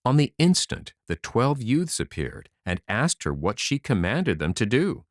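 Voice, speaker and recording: A man narrating a fairy tale as an audiobook, in an expressive storytelling style. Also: medium pitch, a little slow, no room sound, studio quality.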